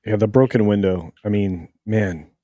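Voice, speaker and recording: A man's voice: lowish voice, moderate pace, warm tone, no echo. A man chatting casually as a panelist on a pop culture show. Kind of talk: casual chat.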